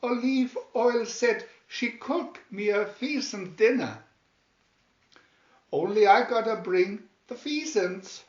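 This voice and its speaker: A man's voice: sits high, slow, roomy sound, highs cut off, voice pushed up. A man voicing a good-natured, plucky cartoon sailor with folksy, mangled grammar and emphatic delivery. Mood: eager, plucky.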